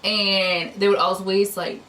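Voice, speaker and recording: A woman's voice: mid-range voice, flat delivery, slow pace, bright, forceful tone. Chatty young woman, distant mic. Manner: chatty, storytelling with many fillers. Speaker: host. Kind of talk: casual chat. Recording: distant mic with faint noise.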